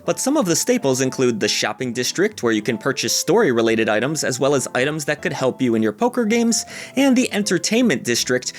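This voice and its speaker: A man delivers scripted narration for a video game review in a mid-pitched voice. His intonation is lively, rising and dropping often across phrases. Music plays underneath.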